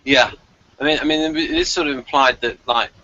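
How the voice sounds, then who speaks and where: Man, podcast reviewer, bright forceful voice, stammering repeats, average pitch, muffled recording. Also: normal speed. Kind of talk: casual discussion.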